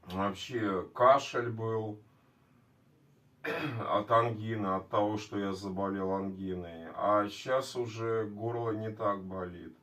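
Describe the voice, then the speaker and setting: A man vlogging casually to his viewers with a sore, scratchy throat, speaking in a halting, repetitive, plain way. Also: on the low side, fairly even intonation, a bit bright. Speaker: video blogger. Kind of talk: casual vlog talk to viewers. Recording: distant microphone.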